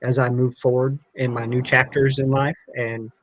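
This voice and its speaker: A man's voice: medium pitch, flat delivery, moderate pace, no room sound, rough recording. A man from the United States speaking as a podcast guest on a call, talking casually and earnestly about himself. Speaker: podcast guest, tournament director.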